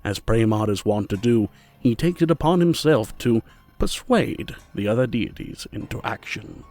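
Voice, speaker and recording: A man narrating a scripted audio fiction story in a formal, measured manner. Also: on the low side, lively intonation, a little mellow, faint music behind.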